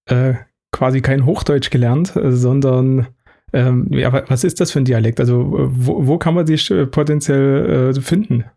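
A man hosts an IT podcast in a casual interview, stumbling over his words and trying again. His voice is dark and mellow, with a gravelly edge. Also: mid-range voice, steady tone, moderate pace. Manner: hesitant, many fillers and restarts. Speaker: podcast host.

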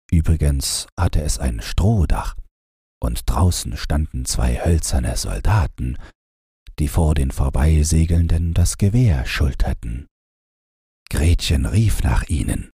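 Very deep, husky male storyteller. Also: no echo, clean studio sound. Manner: calm, unhurried storytelling. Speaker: audiobook narrator. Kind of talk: scripted fairy-tale narration for falling asleep.

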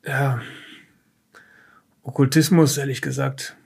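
A man playing a tense, defensive occult professor under questioning, halting and testy in an improvised audio drama. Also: medium pitch, little pitch movement, creaky, slightly rough, exaggerated voice. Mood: guarded.

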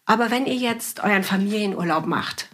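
A woman's voice: mid-range voice, a little fast, fairly bright tone. A middle-aged woman hosting a podcast, interviewing her guest in a casual, conversational way.

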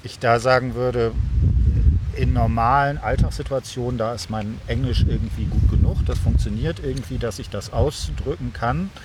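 A man's voice: mid-range voice, normal speed, noisy background. A man who hosts a podcast, talking in a casual, reflective way and often checking for agreement. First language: German. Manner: informal, reflective, seeks agreement with tag questions. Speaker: podcast host. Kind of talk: casual conversational interview talk about podcasting.

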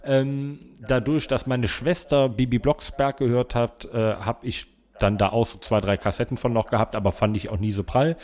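Mid-pitched man reminiscing casually. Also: fairly even intonation, normal speed, few pauses, darkish tone. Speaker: podcast co-host.

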